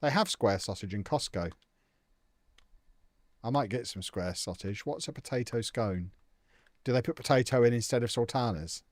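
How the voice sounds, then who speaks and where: A man's voice: medium pitch, expressive, moderate pace, pauses often, no room sound. Young man, casual live-stream chatter, jokey, dry close sound. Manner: chatty, jokey, answers viewers' comments. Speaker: live streamer playing a football management game.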